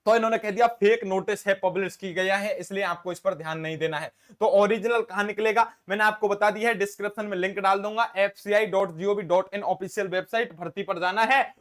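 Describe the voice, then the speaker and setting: A man's voice: sits high, fairly bright tone. A man who teaches exam-prep classes, talking directly to his viewers in a casual, earnest way and often calling them his dear friends. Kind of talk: casual direct-to-camera explainer.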